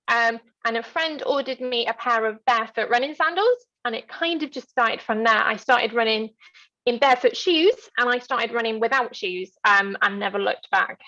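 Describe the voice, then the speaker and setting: A woman's voice: fairly high pitch, bright, forceful tone. A woman, chatting casually as a guest in an interview, on slightly dull lo-fi call audio. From London, England. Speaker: interview guest, ultra runner.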